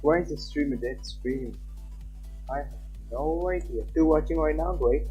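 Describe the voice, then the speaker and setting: A man's voice: a little high, normal speed, dark tone, muffled recording, faint music behind. A man livestreaming a game, chatting casually and reading visual novel text aloud. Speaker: live streamer.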